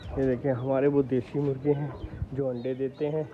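A young man vlogging his poultry yard on a phone held at arm's length, chatting and calling out loudly to his birds. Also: mid-range voice, little pitch movement, normal speed. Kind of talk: casual selfie vlog. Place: rooftop poultry yard outdoors, light rain. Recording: dull phone audio with outdoor noise.

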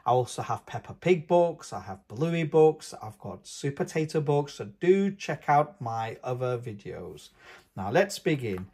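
Very animated man reading a children's storybook. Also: medium pitch, measured pace, breathy edge. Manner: expressive, singsong storytelling. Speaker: host of a children's read-aloud channel. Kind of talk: storybook read-aloud for young children.

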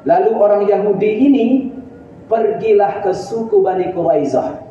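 A man in his thirties delivers a Friday sermon as an earnest storyteller, pushing his voice up for emphasis. His slightly high, very dark and mellow tone sits far from the mic in a very echoey room. The webcam sound is dull and lo-fi, with a faint hiss. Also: normal speed. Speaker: Friday preacher. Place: room in front of a green-screen backdrop.

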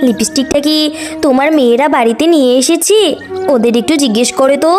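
High-pitched nagging mother played by a woman. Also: brisk, lightly acted. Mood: worried. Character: scolding but caring village mother. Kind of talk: children's cartoon story dialogue. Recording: music behind the voice.

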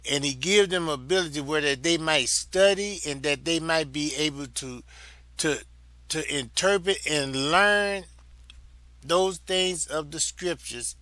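An older man with a Southern US accent, teaching a Bible lesson in a slow, deliberate way and repeating phrases for emphasis. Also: on the high side, pushed and bright, no echo. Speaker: Sunday school teacher.

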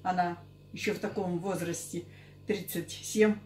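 A woman of sixty-seven giving halting, unscripted interview answers, often repeating herself. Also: lowish voice, fairly even intonation, dark tone, a little hiss or hum. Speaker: interviewee giving a product testimonial. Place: small curtained indoor office. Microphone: camera a couple of metres away. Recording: echoey room.

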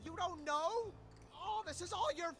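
A man's voice: very high voice, measured pace, fairly bright tone, a little hiss or hum, full character voice. A man voicing a nervous, whiny, foul-mouthed animal scavenger in a cartoon game, hesitant and exasperated. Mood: panicked.

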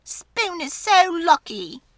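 A woman voicing an envious, childish girl fork in a storybook, whiny, loud and pleading. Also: extremely high pitch, sing-song, takes it slowly, pitched above the performer's own voice, heavily acted.

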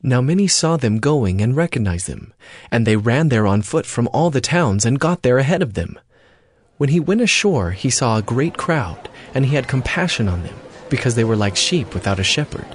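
A man's voice: medium pitch, big pitch swings, moderate pace, husky, no room sound. A man narrating scripture from a script as part of a dramatized reading. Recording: music bed behind voice.

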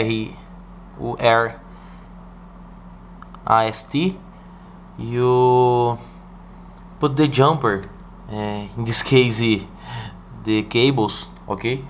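A man from Brazil with a Brazilian Portuguese accent, walking through a hardware setup in halting English, stopping often to ask "okay?". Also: mid-range voice, slight background noise. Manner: halting, with many "uh" and "okay?" checks. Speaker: hobbyist showing a hardware setup. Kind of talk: hands-on tutorial walkthrough. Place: indoor room at a desk.

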